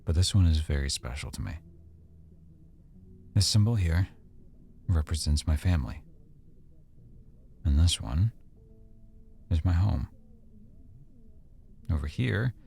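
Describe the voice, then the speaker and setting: An extremely low male voice with a crackling fry plays an easygoing, affectionate boyfriend as acted by a man in an audio roleplay. He talks softly and casually, pausing as he thinks, in an intimate one-sided conversation. A faint noise sits behind him. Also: full character voice. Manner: soft-spoken, casual, hesitant pauses and trailing thoughts.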